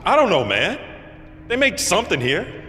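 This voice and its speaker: An actor playing an eager, fawning, foul-mouthed prison inmate in a video game, rambling from loud bursts to mutters. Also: male voice, high-pitched, huge pitch swings, moderate pace, heavily acted. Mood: uneasy, gossipy. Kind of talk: cinematic video game acting. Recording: echoey hall with loud music behind.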